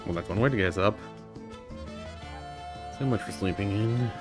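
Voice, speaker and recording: A man gives casual gameplay commentary as a streamer, sometimes reading dialogue aloud. His pitch is very low, and game music is clearly heard behind him. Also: normal speed.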